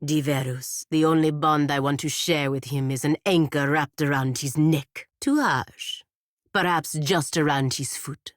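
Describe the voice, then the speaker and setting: A woman's voice: deep voice, steady tone, a little slow, no room sound, clean studio sound. A woman playing a proud, stern godlike warrior in a video game, speaking formally and bluntly with haughty disdain. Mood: scornful. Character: proud, stern godlike female warrior serving her republic. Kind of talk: scripted video game character dialogue.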